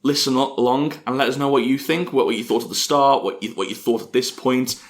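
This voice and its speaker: A man co-hosting a podcast, talking casually at a middling pitch. He is chatty, restarts his sentences often and laughs between thoughts. His voice sits in an everyday middle register. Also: steady tone, a little fast. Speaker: podcast co-host. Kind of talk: casual chat.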